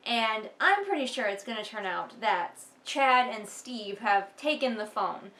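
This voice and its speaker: A woman's voice: on the high side, lively intonation, a little slow, sharp tone. Sarcastic woman in an echoey room. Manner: sarcastic, exasperated. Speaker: host of a book read-along. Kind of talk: casual mocking commentary on a book.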